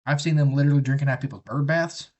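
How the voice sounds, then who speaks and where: A man's voice: mid-range voice, fairly even intonation, fast talker. A man chatting casually on a live stream, rambling and profane as he talks. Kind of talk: casual rambling chat while building a kit.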